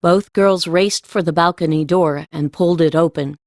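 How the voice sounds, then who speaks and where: A woman's voice: fairly low pitch, fairly even intonation, moderate pace, a little mellow, no room sound, studio quality. A woman reading scripted storybook narration as an audiobook narrator.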